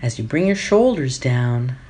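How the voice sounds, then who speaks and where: A woman leading a guided meditation as a teacher, giving gentle instructions phrase by phrase with long pauses. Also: a bass voice, big pitch swings.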